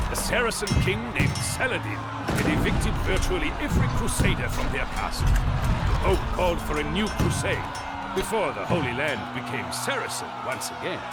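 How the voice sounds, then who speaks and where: An actor playing a grave storytelling chronicler in a history game, narrating solemnly with dramatic pauses. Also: male voice, a little high, creaky. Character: grave storytelling chronicler of a medieval emperor's campaigns.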